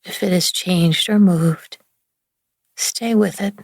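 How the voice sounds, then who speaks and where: A woman leading a guided relaxation meditation in a gentle, soothing, hushed manner with long pauses between phrases. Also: lowish voice, dry recording, studio quality. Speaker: yoga nidra meditation guide.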